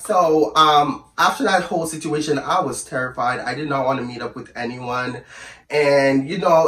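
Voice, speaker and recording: A man with a hoarse voice from the flu, telling a storytime to camera in a chatty, sassy, rambling way and calling his viewers "girl". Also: medium pitch, a bit bright, echoey room, far from the mic. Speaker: storyteller vlogger. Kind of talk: casual storytime chat to camera.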